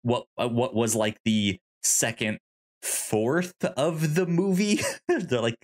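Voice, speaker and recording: A man co-hosting a podcast, discussing films casually in a halting, rambling way with easy laughter. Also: mid-range voice. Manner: rambling, stammering, laughs easily. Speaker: podcast co-host.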